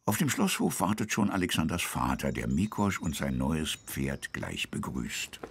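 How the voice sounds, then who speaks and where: A man's voice: very deep voice, expressive, normal speed, slight background noise. A man narrating a children's audio drama as a storyteller, reading from a script. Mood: storytelling.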